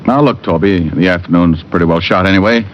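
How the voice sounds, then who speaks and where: An American actor playing a wry, hard-boiled private detective in vintage radio drama style. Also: male voice, low voice, fast, a little hiss or hum, lightly acted. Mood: easygoing, skeptical. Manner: wry, deadpan wisecracks. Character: wisecracking hard-boiled male private detective, dry and cocky. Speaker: lead actor and narrator. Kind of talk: 1940s-50s radio detective acting, first-person narration. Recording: muffled vintage radio recording with music.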